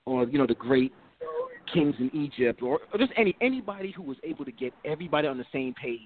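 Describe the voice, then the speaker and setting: Halting man over a tinny line. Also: fairly high pitch, lively intonation. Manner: halting, many restarts and repeats. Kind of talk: casual group discussion.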